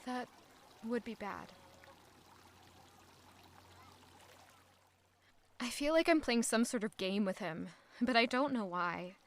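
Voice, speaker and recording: A woman's voice: on the high side, expressive, moderate pace. A woman playing a prickly, troubled young maid. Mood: guarded, curt. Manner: curt, defensive replies. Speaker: maid in an audio drama. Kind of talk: audio drama acting.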